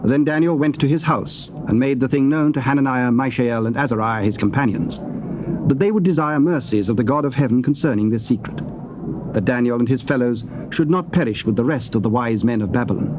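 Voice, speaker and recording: A man narrating scripture in archaic English, formal, measured and solemn. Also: medium pitch. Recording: noisy old cassette.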